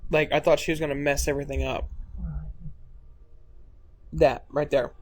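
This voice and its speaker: A man co-hosts a movie commentary in a casual, conversational style. His voice sits a little higher than most men's as he reacts and comments. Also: little pitch movement, moderate pace. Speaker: film commentary co-host.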